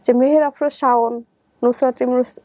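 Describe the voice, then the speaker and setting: A woman's voice: high-pitched, steady tone, normal speed, dark tone, no room sound. A young woman with a Bangladeshi accent telling her personal story in an interview, conversationally acting out what people said. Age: young adult. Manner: conversational, often re-enacts quoted dialogue.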